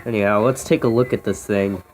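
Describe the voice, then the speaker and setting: A man's voice: fairly low pitch, fairly even intonation, fairly quick, over loud music. An American man giving a casual, unscripted, offhand demonstration as a hobbyist, recorded on a handheld phone. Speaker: radio hobbyist demonstrating a vintage radio. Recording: noisy phone video with clicks.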